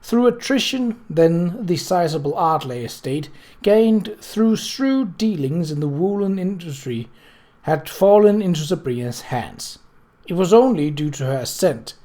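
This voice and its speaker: Male narrator, fairly high pitch, scripted audiobook reading, measured, formal. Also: warm tone.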